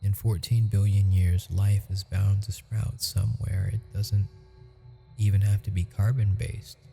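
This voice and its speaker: A tender, teasing ghost boyfriend, voiced by a man, murmurs in an unhurried, intimate hush. His voice sits low and very warm, mellow rather than bright, as if confiding secrets in an ASMR roleplay. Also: level tone, creaky, soft background music. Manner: soft, intimate, chuckling often. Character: gentle, teasing male ghost lover, centuries-wise.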